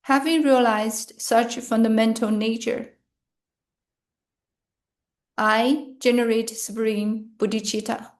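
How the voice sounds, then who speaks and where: Woman, meditation narrator, fairly high pitch, very slow pace, scripted, measured phrasing. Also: fairly even intonation. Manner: measured, short phrases with pauses. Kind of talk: guided meditation, scripted reading.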